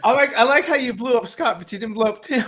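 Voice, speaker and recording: Bright, high man, laughing and teasing. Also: quick pace, a little hiss or hum. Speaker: podcast panelist. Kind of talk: casual chat.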